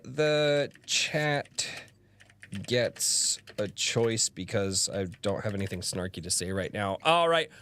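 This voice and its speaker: Lively, rambling man hosting a podcast. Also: fairly low pitch, smooth. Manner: animated, rambling, quick to laugh. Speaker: podcast host. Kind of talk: casual livestream chat.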